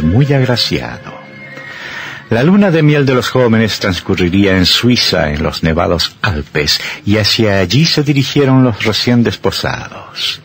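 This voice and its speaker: A man narrating an old radio horror drama in a scripted, dramatic style. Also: on the low side.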